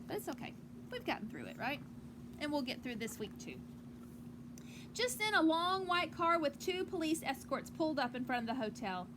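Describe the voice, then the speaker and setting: A woman teacher reading a picture book aloud to children outdoors on a porch, with gentle, encouraging asides. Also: high-pitched, big pitch swings, normal speed. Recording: clearly noisy outdoor ambience.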